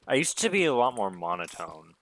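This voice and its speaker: A man with a somewhat deep voice chatting casually to himself as he streams a game, rambling and musing. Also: sing-song, a little fast, fairly bright tone. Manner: rambling, self-musing talk. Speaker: gaming livestreamer. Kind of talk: casual solo gaming stream chat.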